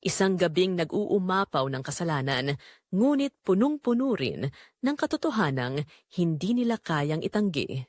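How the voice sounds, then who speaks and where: A woman's voice: on the low side, very animated, normal speed, darkish tone, no echo, clean studio sound. A woman narrating a scripted audio drama in a dramatic, suspenseful way.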